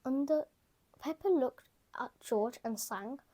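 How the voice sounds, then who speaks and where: A woman reading a children's picture book aloud, gentle and soft-spoken, sometimes dropping to a whisper. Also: high voice, takes it slowly. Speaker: storybook reader. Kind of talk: children's read-aloud narration.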